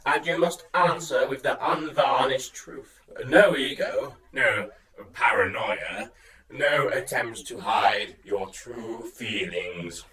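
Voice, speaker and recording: A man's voice: medium pitch, lively intonation, slow pace, pushed and bright. A man playing a solemn, pedantic quest-giver in a comic fantasy audio drama, portentous yet matter-of-fact. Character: solemn, pedantic male quest-giver who sets riddling tasks. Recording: slightly distant from the microphone.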